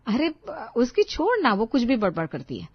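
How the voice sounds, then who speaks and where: महिला द्वारा निभाई बात टालती जेठानी, ऊँचा स्वर. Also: female voice, fairly high pitch, huge pitch swings, moderate pace, breathy edge, dull audio, exaggerated voice. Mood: dismissive, brisk. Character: evasive, brisk elder sister-in-law.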